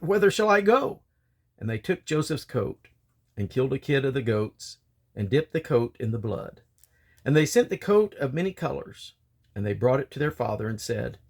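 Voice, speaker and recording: A man reading the Bible aloud as narration, with deliberate phrasing. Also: fairly high pitch, breathy edge. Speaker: Bible reader. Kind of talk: read-aloud scripture narration.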